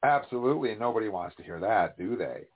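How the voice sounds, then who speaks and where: A man's voice: lowish voice, lively intonation, a little fast, a crowd in the background. A man hosting a podcast who leads the segments in an upbeat, enthusiastic, casual way. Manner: upbeat, enthusiastic host patter. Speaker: podcast host. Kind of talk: casual chat. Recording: tinny phone-like audio.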